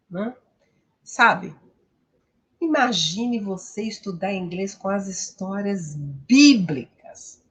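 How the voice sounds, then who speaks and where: A woman with a Brazilian accent speaking as an English teacher and host, giving an instructional, promotional talk in a didactic, emphatic manner with frequent tag questions. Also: mid-range voice.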